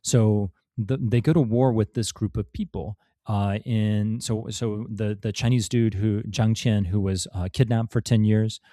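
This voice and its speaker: A man's voice: on the low side, fairly even intonation, measured pace, few pauses, dark tone, no room sound, clean studio sound. A man, a podcast guest and author, telling a history story in casual talk, with many fillers and restarts. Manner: rambling, many fillers and restarts, laughs now and then.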